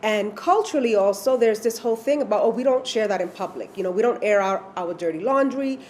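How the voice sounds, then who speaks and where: Brief: woman, mid pitch, thoughtful and reflective, faint hiss behind her. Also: brisk, darkish tone. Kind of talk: reflective conversation.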